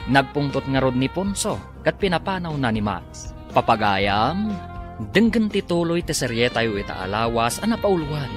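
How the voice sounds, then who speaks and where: A male announcer narrates a radio drama with an Ilocano accent and a dramatic scripted delivery. His medium-pitched voice rises and falls widely, with music playing behind him. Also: very animated, moderate pace.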